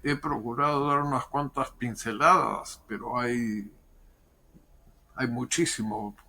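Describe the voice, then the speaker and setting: An older man, a historian and diplomat, giving measured, thoughtful interview answers with pauses and repeated words. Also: medium pitch, sharp tone, a little hiss or hum.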